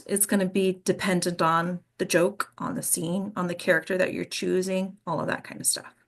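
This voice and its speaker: A woman's voice: fairly low pitch, vocal fry, fairly bright tone. A woman teaching a class as an acting coach, explaining informally and often checking in with the listeners. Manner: explanatory, checks in with "okay?" and "right?".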